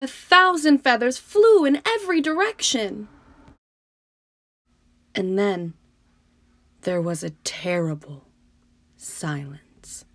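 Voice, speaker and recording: A woman's voice: medium pitch, slow, long pauses, fairly bright tone, no room sound. A woman reading a picture book aloud for children, narrating and speaking to her young listeners directly. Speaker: storybook reader.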